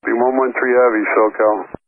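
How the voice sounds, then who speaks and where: A man directs air traffic in a fast, unvarying voice. He uses procedural phrases as an approach controller, heard through a lo-fi radio link. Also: mid-range voice, level tone. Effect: aviation radio. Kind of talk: rapid radio instructions.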